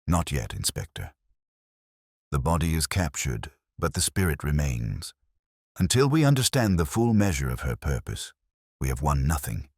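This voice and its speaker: A man voicing a grave, confident gentleman detective in a storybook, with measured, formal, authoritative speech. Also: a bass voice, sing-song, no echo, clean studio sound, full character voice. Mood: grave, certain. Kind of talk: audiobook character dialogue.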